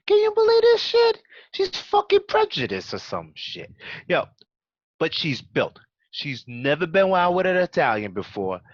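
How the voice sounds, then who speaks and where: A man playing a brash, foul-mouthed, excitable young gangster in an amateur radio play. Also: on the high side, no room sound. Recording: muffled, dry home recording.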